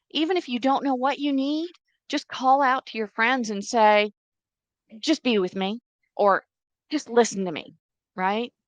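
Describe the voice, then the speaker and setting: A woman's voice: on the high side, no room sound. A middle-aged woman whose first language is Czech, steadily telling her story as a podcast guest. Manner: earnest, steady storytelling with quoted voices. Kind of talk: interview storytelling.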